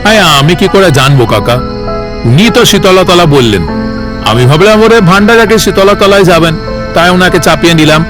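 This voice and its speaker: Horror cartoon bus conductor, male man acting: high voice, brusque, doubtful, colloquial delivery over a music bed. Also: expressive, normal speed. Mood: defensive. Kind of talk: dramatised horror cartoon dialogue.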